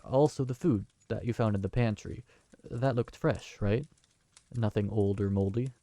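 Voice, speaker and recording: A man's voice: lowish voice, normal speed, mellow, dry recording, natural voice. A man playing a young man who just inherited a magical tavern, speaking in a polite, earnest, slightly flustered way. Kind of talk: fantasy audio drama acting.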